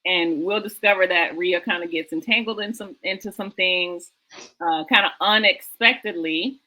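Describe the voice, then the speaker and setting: A woman's voice: lowish voice, moderate pace, bright, forceful tone, poor audio. A woman hosting a book talk show, speaking conversationally and enthusiastically in an emphatic, exhorting way that repeats phrases for effect.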